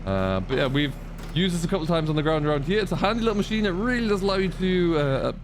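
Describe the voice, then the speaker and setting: A man's voice: a little high, expressive, fast, noisy background. A man hosting a farming roleplay gameplay video, chatting casually and explaining things as he thinks aloud. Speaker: gaming video host, playing a tenant farmer.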